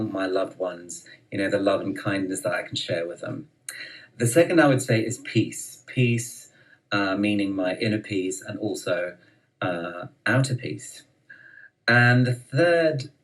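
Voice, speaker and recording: Deep-voiced, articulate university lecturer. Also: male voice, low-quality recording. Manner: polite, articulate. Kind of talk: short personal statement.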